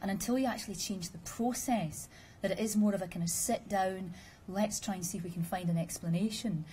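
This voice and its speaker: A woman giving a measured, explanatory conference presentation and answering questions with dry humor. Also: medium pitch, dark tone, slight background noise. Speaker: conference speaker. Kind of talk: formal presentation with audience questions.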